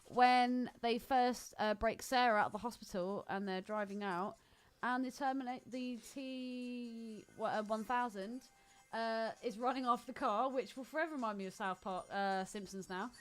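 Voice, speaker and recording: A woman with a fairly high voice, co-hosting a casual film podcast. She talks informally, with a teasing streak and plenty of opinions. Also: normal speed. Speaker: podcast co-host.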